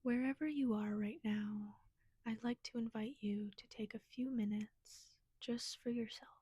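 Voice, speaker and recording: Female meditation guide: gentle and soothing, mellow soft tone, close dry sound. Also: fairly high pitch, steady tone, a little slow, breathy edge, no room sound.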